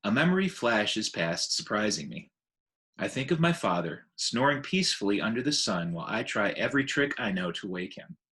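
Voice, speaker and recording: A man reading a children's book aloud in an expressive narrating style. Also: on the low side, moderate pace.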